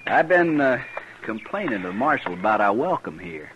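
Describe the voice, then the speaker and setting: A proud Texan cattleman talks low and folksy, with bitterness simmering under plain words. The delivery is that of 1950s western radio acting. The recording is muffled, with slight noise behind the voice. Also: sing-song, moderate pace. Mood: stubborn, worried. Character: proud, embittered Texan cattle trail boss.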